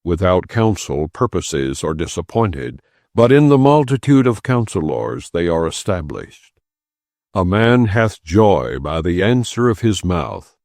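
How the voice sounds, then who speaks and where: Deep-voiced man solemnly reading scripture. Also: darkish tone, no echo, studio quality. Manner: measured, formal delivery. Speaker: audiobook narrator.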